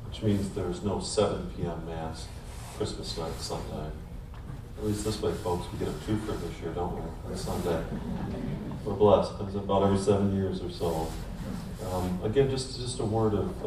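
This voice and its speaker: A man with a low voice talks casually from the front of a large church. His words ramble gently with restarts. The sound echoes heavily, picked up from a distance with background noise. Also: moderate pace. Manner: relaxed, chatty, with restarts. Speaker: priest. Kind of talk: casual parish announcements.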